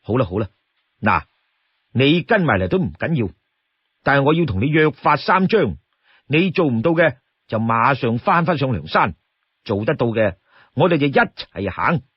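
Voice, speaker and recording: A man plays a shrewd young outlaw from a storybook, exasperated and firm as he lays down rules. The voice is husky and admonishing, close and dry, with a slightly dull sound. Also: medium pitch, sing-song, moderate pace, pauses often, no room sound. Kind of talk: Cantonese storytelling character voice.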